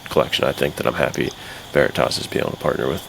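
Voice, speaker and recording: A man with an exceptionally deep bass voice speaks casually on a podcast. His manner is earnest and reflective. A faint noise sits behind him on the recording. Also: fairly even intonation, rapid-fire, gravelly. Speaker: podcast co-host.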